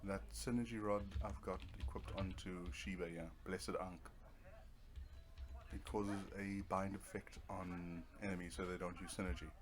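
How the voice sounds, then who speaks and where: A man's voice: low voice, fairly even intonation, normal speed. A man giving casual let's play commentary on a video game, explaining tactics step by step in an offhand way.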